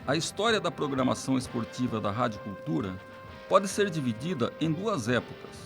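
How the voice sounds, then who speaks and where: A man's voice: mid-range voice, big pitch swings, fairly quick, creaky, music in the background. A man with a Brazilian accent reading scripted documentary narration.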